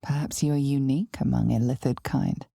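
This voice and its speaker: A woman playing an ominous, knowing narrator of a dark fantasy video game, with a breathy voice and deliberate, dramatic storytelling. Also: very deep voice, steady tone, no room sound, studio quality, heavily acted. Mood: ominous, measured. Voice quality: breathy, husky, often near a whisper.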